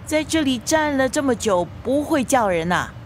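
A woman's voice: sits high, animated, moderate pace, slight background noise. An actress playing an aggrieved elderly mother with a Singaporean accent, scolding and arguing in a radio drama. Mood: reproachful, indignant. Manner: scolding, reproachful, argumentative. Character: nagging, aggrieved elderly mother, a grandmother, fretting over daughter.

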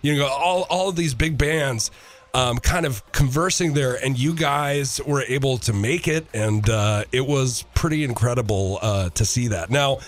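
A man hosting a morning radio show, chatting casually and excitably in a studio interview. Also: mid-range voice, moderate pace. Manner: excitable, informal, effusive. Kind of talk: casual on-air interview chat.

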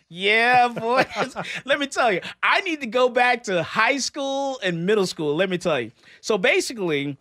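A radio host, ranting and laughing in animated talk-show chat. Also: mid-range voice, no echo, studio quality. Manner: excitable, ranting, laughs often.

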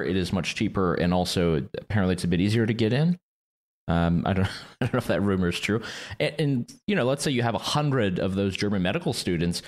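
A very deep-voiced man thinks aloud on a podcast as co-host. He wanders into asides and lets out light chuckles. His pace stays relaxed and conversational. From Quebec, Canada. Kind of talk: casual discussion.

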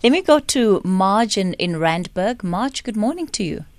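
A woman's voice: mid-range voice, very animated, moderate pace, noisy background. A woman hosting a radio talk show, chatty and easygoing with her guest and callers. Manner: warm, chatty, self-mocking. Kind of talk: radio interview chat. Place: radio studio. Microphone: studio microphone.

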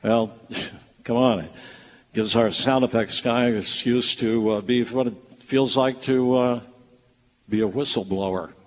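A man's voice: medium pitch, fairly even intonation, unhurried, long reverb tail, rough recording. A man announcing and narrating a live radio play on stage, with a wry, theatrical showman's delivery.

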